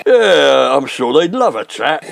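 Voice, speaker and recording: A man's voice: on the high side, big pitch swings, a little slow, creaky, a crowd in the background, heavily acted. An actor playing a pompous, sarcastic, snobbish English man, blustering and mock-reassuring in an old-fashioned radio sitcom style.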